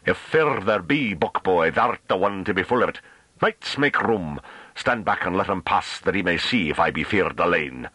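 A man's voice: low-pitched, expressive, moderate pace, bright, forceful tone, slightly rough, no room sound, pitched above the performer's own voice, heavily acted. A man voicing a big, mocking, belligerent farmer in a thick rustic dialect with rolled Rs and archaic phrasing, for an audiobook. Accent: thick rustic invented dialect with rolled Rs.

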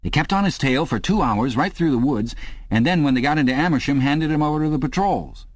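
A man's voice: fairly high pitch, brisk, no room sound, voice pushed up, lightly acted. A man voicing a hearty, sweaty, boorishly keen middle-aged man who talks in a chummy, blustering way.

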